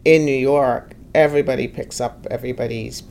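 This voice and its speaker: A woman, telling her story in a lively, emphatic way. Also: extremely low pitch, a little fast, creaky. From Guyana. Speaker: interviewee. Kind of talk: conversational storytelling.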